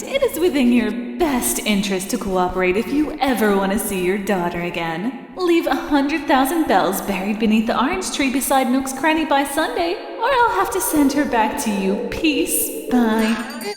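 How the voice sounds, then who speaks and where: A woman's voice: a little high, big pitch swings, moderate pace, faint music behind. A woman voicing a sweet-talking pelican secretary with a hidden sinister streak, in a parody cartoon dub. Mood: coldly menacing.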